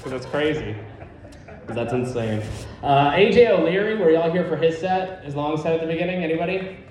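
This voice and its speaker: A man's voice: a little high, a little fast, darkish tone, heavy reverb, slight background noise. A man doing a stand-up comedy set in a rambling, profane, conversational way in a live venue. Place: live comedy show, on stage.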